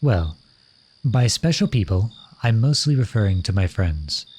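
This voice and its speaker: A man's voice: fairly low pitch, very animated, unhurried, a little mellow, breathy edge, exaggerated voice. A man playing a lovesick, courtly royal in a romantic ASMR roleplay, speaking to the listener in formal, flowery phrases. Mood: courtly, inviting.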